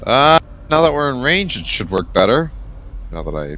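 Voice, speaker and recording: A man's voice: mid-range voice, big pitch swings, normal speed, sharp tone. A man playing in a tabletop role-playing game, bantering casually and partly in character.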